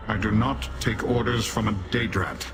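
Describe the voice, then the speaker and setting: A haughty demon warrior from a fantasy game, acted by a man, speaks in a deep voice. He is formal, measured and disdainful, with music playing underneath. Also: fairly even intonation, natural voice. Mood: scornful. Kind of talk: fantasy video game character acting.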